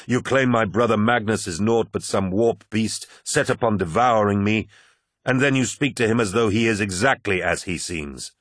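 A man's voice: fairly low pitch, moderate pace, a bit bright, dry recording, clean studio sound. A man voicing a proud, commanding warlord in an audiobook, defiant and suspicious, with an imperious, emphatic delivery. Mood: suspicious, accusing.

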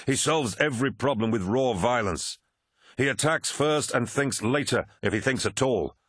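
An imperious warlord of superhuman warriors, played by a male audiobook reader, wrathful and forceful, often lifting above the narrator's register. The delivery is dramatic and commanding, captured very dry and close. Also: medium pitch, moderate pace, husky, dry recording, pitched above the performer's own voice. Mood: angry. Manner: forceful, imperious, snapping and roaring. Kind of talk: dramatic audiobook character acting.

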